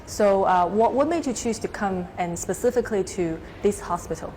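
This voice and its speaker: A woman's voice: medium pitch, moderate pace, dark tone, slight background noise, low-quality recording. A woman hosting a talk show, asking casual interview questions in a hesitant, pieced-together way.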